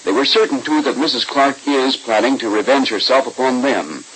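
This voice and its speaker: A man's voice: mid-range voice, normal speed, fairly bright tone, rough recording. A man as a 1940s radio announcer, reading scripted narration and ads in a polished, emphatic, selling manner. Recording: hissy old-time radio recording.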